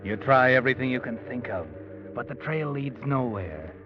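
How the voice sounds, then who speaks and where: An actor playing an all-knowing, taunting narrator who needles the character in 1940s radio suspense style. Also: male voice, mid-range voice, lively intonation, moderate pace, close to an everyday voice. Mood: grim, pressing. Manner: taunting, dramatic, insinuating. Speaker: narrator of a crime mystery drama. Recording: muffled old radio broadcast with music.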